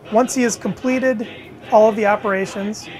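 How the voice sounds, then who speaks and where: A middle-aged man leading product innovation, presenting a car cockpit demo in a measured, clear, scripted way in an indoor demo room. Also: high voice, steady tone, darkish tone, low-quality recording. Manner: measured, clear explanatory delivery.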